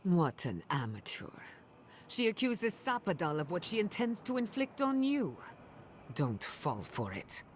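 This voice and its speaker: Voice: medium pitch, wildly swooping pitch, unhurried, a little hiss or hum. An actor playing a wry, conflicted adventuring companion in a fantasy game, speaking frankly. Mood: scornful. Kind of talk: fantasy game cutscene acting.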